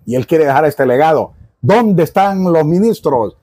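A man's voice: fairly high pitch, expressive, normal speed, warm tone, no room sound, studio quality. Emphatic Honduran man hosting interview. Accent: Honduran Spanish. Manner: emphatic, rhetorical questions. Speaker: podcast host. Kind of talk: casual interview. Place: small cluttered study with bookshelves.

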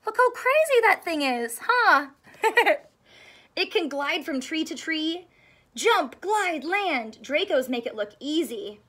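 A woman in her thirties with a high-pitched voice reads a picture book to young children. She takes it very slowly, stretching key words and putting questions to her listeners like an expressive teacher. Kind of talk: animated read-aloud of a children's book. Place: small indoor room with couch and stuffed toys.

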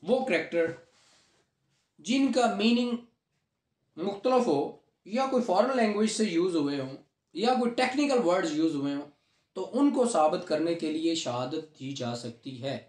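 A man's voice: high voice, expressive, moderate pace, a little mellow. A man with a Pakistani accent giving a law lecture, explaining step by step, repeating key points and mixing in English legal terms. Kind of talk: classroom lecture with questions and explanations. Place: small room at a whiteboard, indoors. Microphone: camera a few steps away. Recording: roomy echoing indoor recording.

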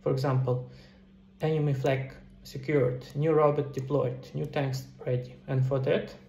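A middle-aged man talks viewers through a casual screen tutorial in an explanatory way. His voice has an average pitch. The recording is roomy and echoey, with some noise behind him. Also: level tone, measured pace, creaky, warm tone. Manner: explanatory, addresses viewers directly. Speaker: video tutorial presenter, indie game developer. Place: indoor room.

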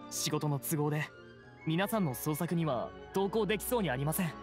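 An actor playing a dutiful, apologetic town guard, speaking politely and formally in a game. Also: male voice, fairly high pitch, lively intonation, fairly quick, over music, natural voice. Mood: concerned, polite. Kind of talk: scripted game dialogue.

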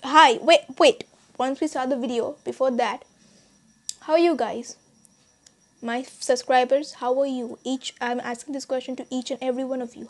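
A teenage girl from India with an Indian accent, chatting casually to her subscribers, rambling with many restarts and fillers. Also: high-pitched. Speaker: YouTuber. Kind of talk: casual vlog chat. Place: small bedroom, indoors.

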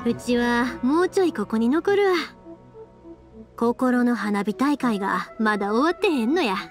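High-pitched young woman, Kansai accent, animated intonation, cheerful, friendly, warm-hearted, anime game character. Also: unhurried, music in the background. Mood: warm, playful. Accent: Kansai Japanese. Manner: chatty, friendly, expressive Kansai dialect. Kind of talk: anime-style video game voice acting.

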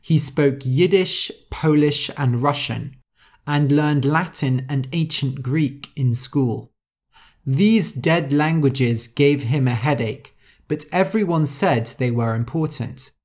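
Very slow, clear podcast storyteller. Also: medium pitch, a little mellow. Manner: spells out words letter by letter and explains vocabulary. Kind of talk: slow, clear story reading for English learners.

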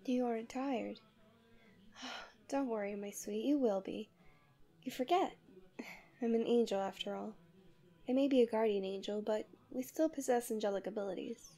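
A woman playing a tender, possessive guardian angel in love with a human, in an intimate ASMR roleplay with soft, soothing, often breathy speech. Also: a little high, normal speed, pauses often. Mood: gentle, coaxing.

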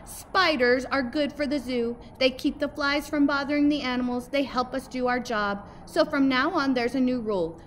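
Storybook zoo boss female reader: brisk, commanding man in charge, emphatic delivery, raised pitch. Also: slight background noise, close to an everyday voice. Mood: pleased, commanding. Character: bossy male zoo keeper, brisk chief of staff.

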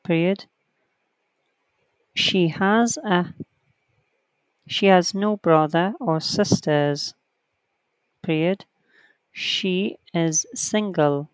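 Teacher reading exercises out loud real slow, counting off corrections, smooth voice. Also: medium pitch, dry recording, poor audio. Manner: reads sentences out slowly and counts corrections aloud. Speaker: English writing teacher. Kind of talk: slow online lesson, reading a textbook aloud.

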